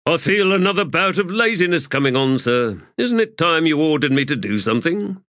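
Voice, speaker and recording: A man voicing a cheeky, bitter wounded soldier with a sardonic, quick tongue. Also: a little high, very animated, a bit bright, dry recording, studio quality, voice pushed up.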